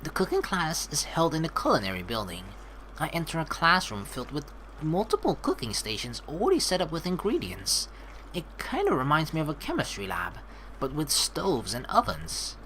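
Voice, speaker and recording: A woman's voice: low-pitched, wildly swooping pitch, moderate pace, a bit bright, a little hiss or hum. A young woman reading a game aloud as a streamer, adding chatty, casual asides as she goes. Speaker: let's play streamer. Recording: faint game music behind.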